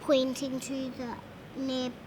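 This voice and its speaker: Slow, high-pitched five-year-old girl reading aloud. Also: monotone, noisy background. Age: child, about five. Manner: halting, sounding out words carefully. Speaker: young reader. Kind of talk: reading a children's storybook aloud.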